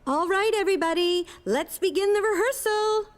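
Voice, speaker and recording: A woman's voice: very high-pitched, normal speed, faint music behind. A woman playing an encouraging schoolteacher who directs a children's play in a cartoon. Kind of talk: scripted children's cartoon acting. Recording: long hall reverb.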